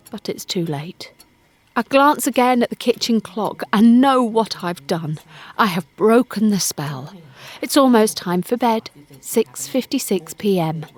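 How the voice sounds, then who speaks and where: A woman reading a first-person audio drama as narrator, expressive and suspenseful. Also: mid-range voice, slightly rough. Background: music.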